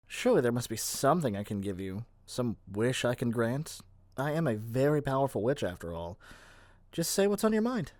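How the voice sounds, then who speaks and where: Guy doing a clingy young witch shopkeeper for asmr roleplay, sweet n theatrical, kinda scheming, dry close sound, mid pitch. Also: a little mellow, no echo, lightly acted. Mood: tender, coaxing. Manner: theatrical, coaxing, playful, stammers when pleading. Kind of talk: scripted ASMR roleplay addressed to the listener.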